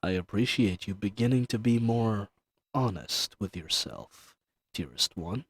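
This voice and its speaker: Slow, deep ancient dragon, voiced by a man. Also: lively intonation, husky, heavily acted. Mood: teasing, seductive. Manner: formal, archaic diction, soothing and teasing. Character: ancient male dragon familiar, possessive, seductive, condescending yet tender. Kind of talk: intimate scripted roleplay audio addressed to the listener.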